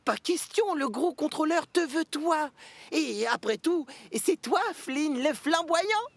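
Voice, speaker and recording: A woman's voice: sits high, lively intonation, slow pace, exaggerated voice. A woman playing a friendly, cheerful young tank engine in a children's cartoon, earnest and encouraging. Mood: upbeat, insistent.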